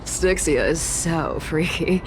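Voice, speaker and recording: A woman voicing a sly, aloof, playful trickster in a video game, teasing and flippant in her delivery, expressive throughout. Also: deep voice, slow pace, slight background noise. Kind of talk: video game character acting.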